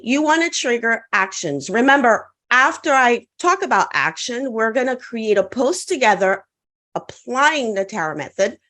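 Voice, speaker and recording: A Caribbean woman, French by first language, coaching an online conference audience. Her fairly high voice swings up and down with lively energy as she walks listeners through her method. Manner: upbeat coaching, asks audience to respond in chat. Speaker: conference speaker.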